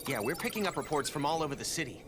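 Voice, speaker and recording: A man voices an earnest, clever turtle teenager from a video game. He sounds articulate and animated, a touch high, with music behind. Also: very fast, close to an everyday voice. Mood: earnest, concerned.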